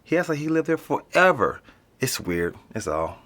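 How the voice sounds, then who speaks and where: A man's voice: fairly high pitch, very animated, normal speed, a bit bright. An actor playing a wary young male tenant who talks casually, swears and sounds uneasy. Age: young adult. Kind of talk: audio drama acting.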